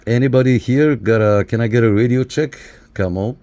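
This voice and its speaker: A man's voice: medium pitch, fairly quick. A man from Canada talking casually as he reviews and operates radio gear, often repeating short phrases. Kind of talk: casual hands-on product review. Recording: clear background noise.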